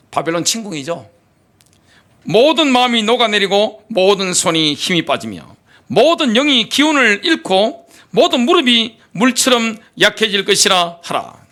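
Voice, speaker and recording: A middle-aged male pastor delivering an impassioned sermon, firing off rhetorical questions, then dropping to quiet asides. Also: sits high, big pitch swings, normal speed. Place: church sanctuary.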